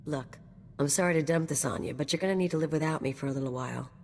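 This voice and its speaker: Game companion: brash anarchist gun-for-hire, low female voice, swaggering and teasing, heard in a long reverb. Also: fast talker, a little mellow. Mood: guarded. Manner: swaggering, teasing, casual and slangy. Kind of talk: video game voice acting.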